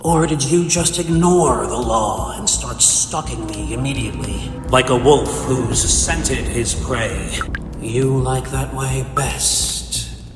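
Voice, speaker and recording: A man's voice: medium pitch, slow, natural voice. A man playing a cold, bitter, cerebral supervillain in a comic dub, speaking in a theatrical, condescending, verbose manner. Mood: contemptuous. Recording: echoey hall reverb, faint music behind.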